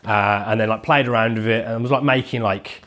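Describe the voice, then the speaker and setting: A man's voice: fairly low pitch, fairly even intonation, brisk, a bit bright. A man in his thirties with a head cold, sounding nasal as he tells his story in casual, rambling chat full of "like". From England. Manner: casual, rambling, heavy use of "like". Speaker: podcast guest, leather maker.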